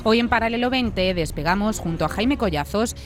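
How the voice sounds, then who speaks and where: A woman's voice: mid-range voice, lively intonation, a little fast. A woman with a Castilian Spanish accent hosts a show, delivering a scripted introduction. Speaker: show host. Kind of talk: scripted introduction and casual co-hosting. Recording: music behind the voice. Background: music.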